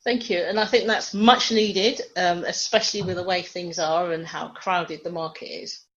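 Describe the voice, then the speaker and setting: A woman's voice: on the low side, fairly even intonation, moderate pace, fairly bright tone. A woman hosting a leadership podcast, introducing the show and prompting her guest in a friendly, encouraging way. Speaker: podcast host. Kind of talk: podcast interview. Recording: dull lo-fi sound.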